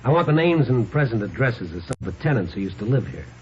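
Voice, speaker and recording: An actor playing a wry, probing reporter hero in a 1950s radio crime drama, casual in manner. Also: male voice, mid-range voice, big pitch swings, fast, close to an everyday voice. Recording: dull, noisy old radio recording.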